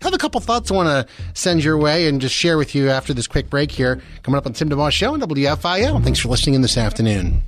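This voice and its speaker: A man's voice: a little high, big pitch swings, quick pace, hardly any pauses, noisy background. An American man hosting a radio interview in a conversational, encouraging way, rephrasing his questions as he goes. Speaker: radio show host.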